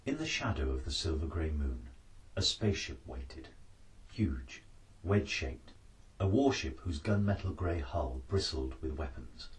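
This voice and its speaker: A man's voice: rumbling low, sing-song, a little slow, far from the mic, poor audio. A man reading an adventure story aloud as a dramatic scripted narration. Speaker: author-narrator reading his book.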